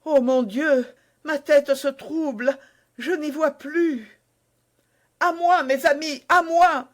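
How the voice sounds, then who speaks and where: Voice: high voice, measured pace, pitched above the performer's own voice. A reader voicing a loving, frightened young woman who is dying of poison, speaking breathlessly and rising to cries. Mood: panicked. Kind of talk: audiobook character voice.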